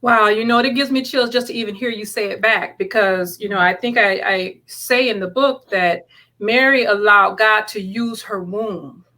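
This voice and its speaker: A woman's voice: medium pitch, moderate pace, bright, forceful tone, poor audio. A woman speaking as a guest author, answering in a conversational, sincere and reflective way about matters of faith.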